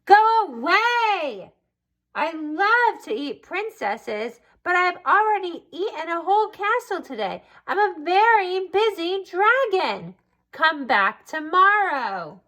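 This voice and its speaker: A woman voicing a boastful, busy male dragon in a drawn-out, self-important manner for a storybook. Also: very high voice, big pitch swings, sharp tone, voice pushed up, heavily acted. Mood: dismissive.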